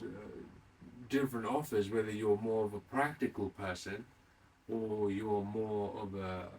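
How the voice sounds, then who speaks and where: A man in his late thirties with a fairly low voice talks off the cuff, as if recorded some way from the microphone. He circles back over his phrases, restating them haltingly, and throws questions out to the listener. A faint layer of noise sits behind him. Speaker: podcast host. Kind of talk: rambling unscripted monologue. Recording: distant mic with faint background noise.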